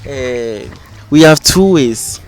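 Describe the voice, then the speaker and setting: A man with a Ghanaian accent hosting a casual on-camera chat, energetic and loud as he quizzes his guest. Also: medium pitch, slow, soft background music. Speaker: show host.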